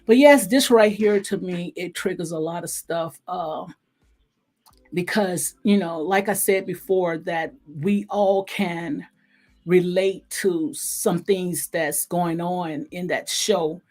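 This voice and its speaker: A woman with a slightly hoarse voice, giving casual, rambling commentary as the host of a recap show in a conversational, heartfelt, repetitive way. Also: on the low side, slow, a little mellow.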